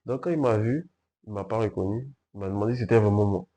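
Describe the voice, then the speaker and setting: A young man from Ivory Coast, a guest answering in a casual interview, calm and hesitant, searching for his words. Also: fairly low pitch, fast. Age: young adult. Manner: hesitant, calm, frequent fillers and restarts. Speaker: guest entrepreneur.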